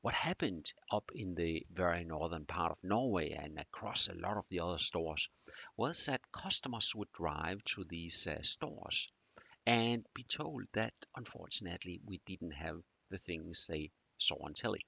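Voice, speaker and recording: A middle-aged man from Denmark with a Danish accent, introducing a course in a halting way with many fillers. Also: low voice, expressive, gravelly, pushed and bright, breathy edge, no echo. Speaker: teacher.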